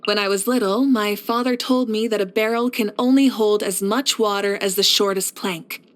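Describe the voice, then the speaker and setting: A woman acts out a fire archon who leads her people in a video game. Her voice sits at a medium female pitch and carries calm confidence. She speaks in measured, encouraging phrases, composed and kindly as a leader. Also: natural voice. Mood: earnest, assured. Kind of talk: scripted video game character voice lines.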